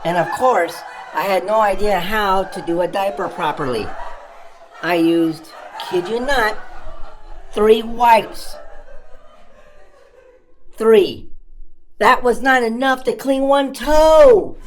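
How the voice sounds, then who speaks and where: A woman voicing a cheeky, self-amused puppet comedian who tells stand-up stories with dramatic pauses and exaggerated emphasis. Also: mid-range voice, a crowd in the background, background noise, natural voice. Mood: mock horrified. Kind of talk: family-friendly stand-up comedy storytelling.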